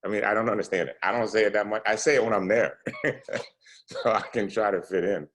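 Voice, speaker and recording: A man's voice: on the low side, steady tone, a little fast, a bit bright. A man in his forties from the States giving a casual talk to students, chatty and full of "you know" and "right?". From United States. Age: 40s. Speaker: entrepreneur guest speaker.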